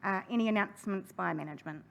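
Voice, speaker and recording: A woman chairing a council hearing, running through procedure in a brisk, friendly way. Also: mid-range voice, gravelly, fairly bright tone, a little hiss or hum. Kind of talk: formal meeting chairing. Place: council chamber.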